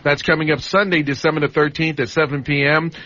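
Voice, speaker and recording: A man hosting live radio, chatting casually and making a call-in pitch in a studio. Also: fairly even intonation, fast, sharp tone, muffled recording. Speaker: radio host.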